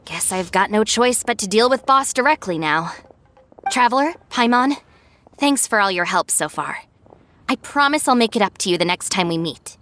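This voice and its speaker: A woman's voice: medium pitch, lively intonation, normal speed, fairly bright tone, close to an everyday voice. A woman playing a sensible, stern young gang deputy in an anime game, speaking in a firm, no-nonsense way. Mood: resigned.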